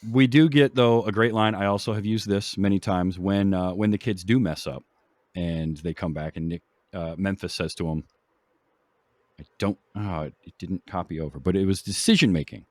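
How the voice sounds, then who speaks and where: A man with a low voice hosts a casual film podcast. He rambles along with enthusiasm, and the sound is close and dry. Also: moderate pace, a little mellow, dry recording. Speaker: podcast host.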